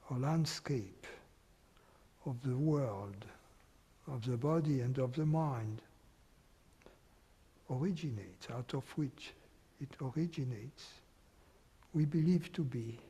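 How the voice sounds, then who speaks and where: Slow, soft-spoken man guiding meditation. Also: mid-range voice, husky, in a large hall. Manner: slow, unhurried, with long pauses. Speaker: meditation teacher. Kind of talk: guided meditation.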